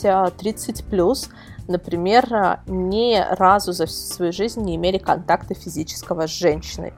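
A woman speaking as a guest expert in a casual interview, explaining things and often searching for words. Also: medium pitch. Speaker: guest expert, web portal editor.

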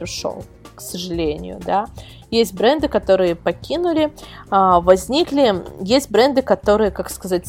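A woman's voice: medium pitch, darkish tone. Chatty woman explaining, music behind. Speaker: guest expert, portal editor. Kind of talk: casual podcast interview, explaining. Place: remote video call.

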